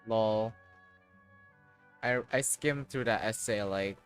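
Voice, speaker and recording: A man's voice: fairly low pitch, takes it slowly, smooth, soft background music. A young man streaming a game, reading text aloud and making wry jokes. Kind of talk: casual livestream reaction.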